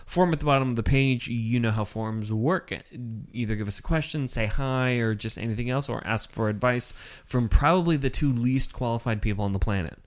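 A male podcast co-host with a mild speech impediment, talking in loose, joking chat. He wanders off on asides and teases his co-host. His voice sits at an ordinary middle pitch. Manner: joking, rambling, quick asides.

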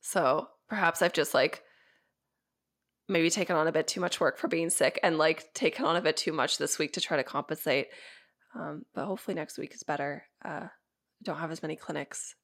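A young woman co-hosting a podcast in casual chat, sounding congested and stuffy as she gets over an illness, rambling with lots of fillers and quick laughs. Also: low-pitched, a bit bright. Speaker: podcast co-host.

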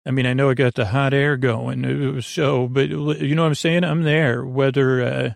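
A man hosting a bedtime podcast in a meandering, halting monologue, trailing off mid-sentence and gently reassuring the listener. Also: medium pitch, little pitch movement, vocal fry, no room sound. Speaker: podcast host.

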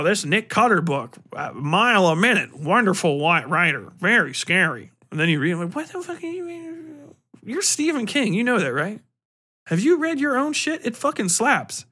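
Profane, higher-voiced guy rambling casually. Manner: profane, slangy, informal; animated, exclamatory, acts out mock exchanges. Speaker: podcast host. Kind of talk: casual rambling book review talk.

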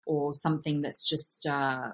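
Low-voiced woman, thoughtful interview guest. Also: flat delivery, unhurried. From born in Bulgaria, raised in England. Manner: thoughtful, hesitant, many fillers. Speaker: actor, podcast guest. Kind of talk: casual interview answers.

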